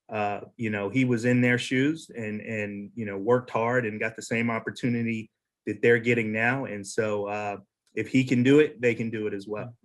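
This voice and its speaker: A man with a fairly low voice speaks on a panel. His delivery is conversational and measured, with halting pauses between phrases. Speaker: youth baseball program panelist. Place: virtual panel over video call.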